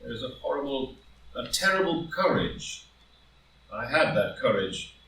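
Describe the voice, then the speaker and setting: Man acting an impassioned proud gentleman, roomy and distant. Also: mid-range voice, expressive, a little slow, creaky. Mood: indignant, defiant. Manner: impassioned, grandiloquent, emphatic. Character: proud, ambitious wealthy gentleman defending his guilty secret. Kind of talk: live group play reading, stage drama. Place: indoor room, live in-person group reading. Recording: roomy live recording, distant from mic.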